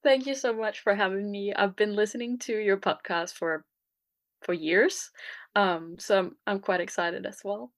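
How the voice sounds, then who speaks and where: A young woman with a Danish accent, talking casually as a guest in an interview. She often pauses on fillers and restarts her sentences as she thinks aloud. Her voice sits in a middle pitch. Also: fairly even intonation. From Denmark. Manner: hesitant, many fillers and restarts. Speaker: guest artist. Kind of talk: casual conversation.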